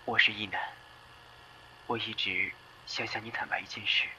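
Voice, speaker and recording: A man playing a lovesick undercover policeman in an audio drama, confessing softly and hesitantly in an intimate tone. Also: lowish voice, steady tone, normal speed, slight background noise, poor audio. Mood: hesitant, hushed. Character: lovesick male undercover policeman confessing on tape, tender, guilty. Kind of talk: Chinese amateur audio drama monologue. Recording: voice under loud background music. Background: loud music.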